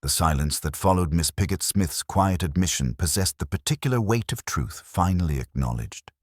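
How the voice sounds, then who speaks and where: A man reading scripted mystery fiction as an audiobook narrator, with a measured, literary delivery. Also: low voice, lively intonation, dry recording, studio quality.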